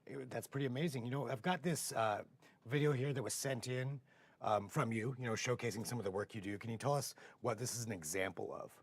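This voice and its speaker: An American man with a rough, hoarse voice hosting a casual live interview, talking in halting, rambling phrases. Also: mid-range voice, no echo. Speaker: TV show host.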